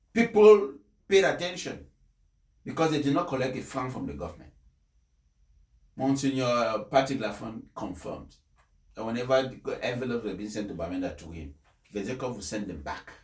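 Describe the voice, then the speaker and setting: Echoey, emphatic man. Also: fairly high pitch. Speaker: speech reader and commentator. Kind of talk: formal read-aloud speech, then impassioned personal commentary. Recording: echoey, reverberant room sound.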